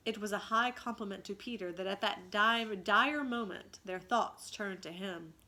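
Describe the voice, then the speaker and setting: A woman teacher reading a children's story aloud with dramatic expression and pausing to ask questions. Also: mid-range voice, pushed and bright.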